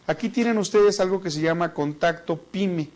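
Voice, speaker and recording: A man's voice: high voice, moderate pace, darkish tone. A man with a Mexican accent teaching a business class in a chatty, joking way, often repeating words as he thinks. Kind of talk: online business class lecture.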